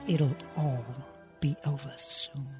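A man's voice: medium pitch, level tone, slow pace, warm tone, faint music behind, close to an everyday voice. A man playing a cruel, impatient huntsman in a radio play, commanding and threatening. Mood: cold menace. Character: menacing, impatient huntsman, cruel henchman of a queen.

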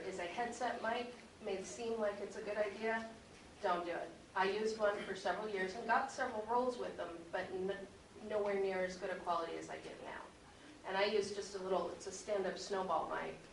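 A woman with noticeable vocal fry shares casual tips as a panelist. She is recorded at a distance in an echoey room with faint noise. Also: medium pitch, fairly even intonation, a little fast. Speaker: convention panelist. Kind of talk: casual advice from experience.

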